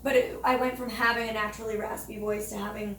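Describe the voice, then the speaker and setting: A woman's voice: on the high side, flat delivery, fast talker, fairly bright tone, roomy sound, recorded from a distance, slight background noise. A young woman who is a professional speaker, talking in a chatty casual way with lots of "like". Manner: chatty, lots of "like", laughs easily. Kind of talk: casual chat. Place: hot room under studio lights.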